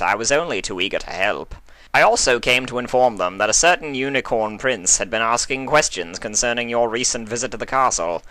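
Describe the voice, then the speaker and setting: A man voicing a stately, wealthy gentleman unicorn, formal, courteous and a little pompous, in a story reading. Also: medium pitch, no room sound, voice pushed up, low-quality recording.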